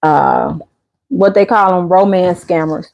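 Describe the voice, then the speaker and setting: A woman's voice: lowish voice, takes it slowly, gravelly, darkish tone, lo-fi sound. A woman, casually chatting on her channel's live stream and answering viewers' comments. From Chicago area. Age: 50s. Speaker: channel host.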